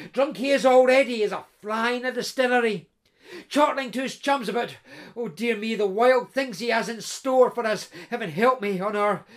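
A storyteller voicing a young runaway bride, pleading and breathless. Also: male voice, sits high, close to an everyday voice.

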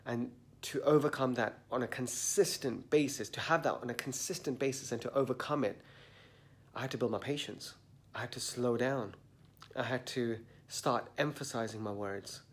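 A man with a mid-range voice and a slightly blocked nose delivers a reflective motivational monologue. He speaks earnestly and deliberately, leaning on key words. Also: medium pitch, breathy edge. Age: adult, a parent. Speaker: motivational podcast host. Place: sitting indoors at dawn.

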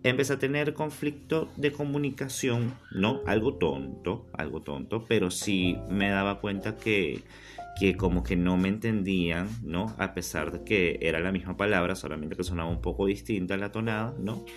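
A man from Venezuela co-hosting a casual podcast and telling an anecdote in a relaxed, measured way. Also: deep voice, music in the background. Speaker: podcast co-host.

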